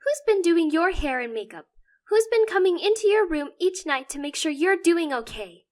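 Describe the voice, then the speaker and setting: A woman's voice: very high voice, sing-song, normal speed, darkish tone, voice pushed up. A woman voicing a caring younger sister who snaps in frustration and pleads. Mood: pleading. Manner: snapping, pleading.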